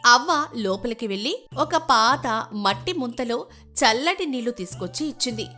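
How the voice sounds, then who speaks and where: A woman's voice: a little high, expressive, moderate pace, bright, forceful tone, soft background music. A woman giving scripted, dramatic narration as the narrator of a story.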